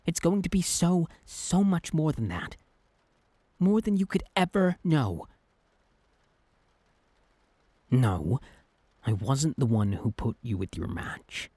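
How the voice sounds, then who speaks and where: A man's voice: medium pitch, warm tone, slightly rough, dry recording. A man playing a possessive, exasperated young Cupid who is secretly lovesick, in a conversational, emphatic boyfriend roleplay audio drama. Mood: earnest, flustered. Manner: conversational, exasperated, emphatic, hesitant when flustered.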